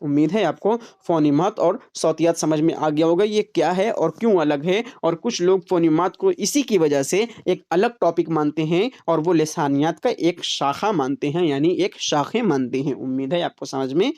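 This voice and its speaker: A man's voice: on the high side, normal speed, few pauses, a little mellow. A man with an Urdu-speaking Indian accent teaching an online exam-prep lecture. He repeats key terms, asks the class questions and answers them himself, and often checks in with the listeners. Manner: checks in often with "is it not?" and "all right".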